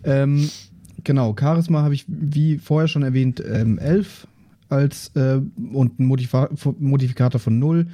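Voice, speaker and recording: A man casually introducing his tabletop role-playing character in a halting, informal way. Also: mid-range voice, fairly even intonation, warm tone. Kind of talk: casual character introduction on an actual-play podcast.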